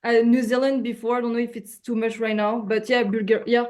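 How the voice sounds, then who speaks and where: A French woman speaking English as her second language, an actress chatting casually on a video call, often pausing for words and restarting. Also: on the high side, little pitch movement, a little mellow. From France. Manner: searching for words, frequent fillers and restarts. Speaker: actress, guest.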